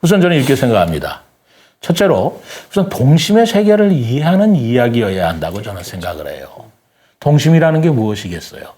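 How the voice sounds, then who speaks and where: Older man, animated pitch, mellow voice. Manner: deliberate, formal and polite, rhetorical questions. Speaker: writer, interview guest. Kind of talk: earnest opinion talk in an interview.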